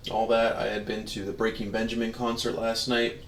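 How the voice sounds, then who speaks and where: A man with a hoarse, worn-out voice giving rambling, easygoing commentary as a game streamer. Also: lowish voice, monotone, fairly quick, a little hiss or hum. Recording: roomy, distant mic under loud music. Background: loud music.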